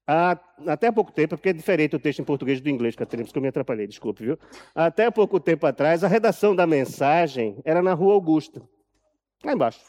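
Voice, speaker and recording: A man with a Brazilian accent giving a live talk from a prepared text, telling his story deliberately and emphatically, repeating phrases for effect. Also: a little high, animated, darkish tone. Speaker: journalist and author speaking at an event.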